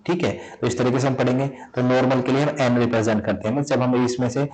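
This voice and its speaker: A man from India teaches chemistry at a middle pitch, and his throat is sore enough that he stops to clear it. He poses a question, answers it, then says the answer again, in the patient rhythm of a classroom lesson. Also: fairly even intonation, fast, a little mellow, low-quality recording. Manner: repetitive, asks and answers own questions. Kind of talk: explaining a lesson.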